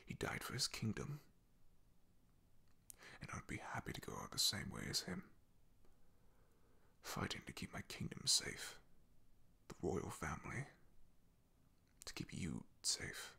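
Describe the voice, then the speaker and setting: A man playing a solemn, haunted, devoted knight in an ASMR fantasy roleplay, speaking haltingly in a husky, gravelly half-whisper. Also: deep voice, huge pitch swings, exaggerated voice. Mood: earnest, devoted. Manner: hesitant, halting, confiding in hushed tones. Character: loyal male knight, solemn, haunted, devoted to his princess.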